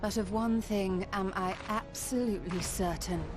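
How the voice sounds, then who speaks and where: Woman doing a wise proud sorceress for a video game, medium pitch, calm and firm, talks all formal and old-timey, music behind. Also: natural voice. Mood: composed, firm. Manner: composed, formal, archaic, measured delivery. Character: proud, wise scholarly sorceress, composed and resolute. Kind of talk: scripted video game drama acting.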